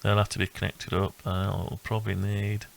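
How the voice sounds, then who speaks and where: A man giving a casual, unscripted walkthrough of a hobby build, thinking aloud in a halting, rambling way. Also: lowish voice, monotone, gravelly, a little hiss or hum. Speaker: electronics hobbyist presenting own build.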